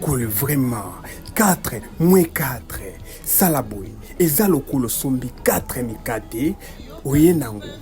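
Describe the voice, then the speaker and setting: A performer voicing a patient cartoon adult who quizzes a child in a deliberate, questioning manner. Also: mid-range voice, animated, music in the background. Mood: instructive. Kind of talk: cartoon character dialogue.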